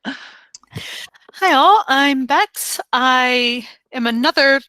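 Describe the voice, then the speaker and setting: A woman's voice: high-pitched, drawn-out words, bright, forceful tone, clean tone, no room sound. A woman from rural Pennsylvania who works in QA, introducing herself on a video call with wry jokes. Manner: wry, joking. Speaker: QA worker.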